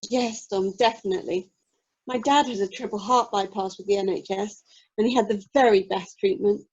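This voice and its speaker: A woman's voice: medium pitch, a little fast, breathy edge, highs cut off, natural voice. A woman playing a sweet, earnest newlywed wife in an amateur radio comedy, over online meeting audio.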